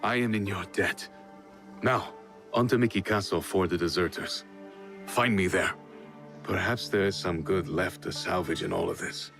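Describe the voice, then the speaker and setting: A noble, weary samurai from a video game. His voice sits low and he speaks with measured sincerity. Music plays clearly underneath. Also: fairly even intonation, fairly bright tone. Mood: courteous, resolute. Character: weary, honourable samurai warrior torn over an old friend. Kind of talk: video game cutscene acting. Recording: music behind the voice.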